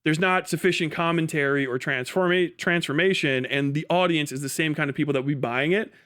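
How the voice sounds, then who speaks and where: A man's voice: a little high, a little fast, fairly bright tone. A man hosting a livestream, giving off-the-cuff commentary in an emphatic, repetitive, argumentative way with casual swearing. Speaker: live stream host.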